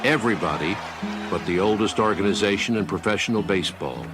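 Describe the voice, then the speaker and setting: Low-voiced male narrator over loud music. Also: fast. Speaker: documentary narrator. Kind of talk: scripted documentary narration.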